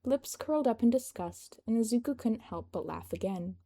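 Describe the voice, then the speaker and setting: Mellow-voiced woman reading a story. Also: fairly high pitch, expressive, normal speed. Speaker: fan fiction narrator. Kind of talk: scripted narration of a story.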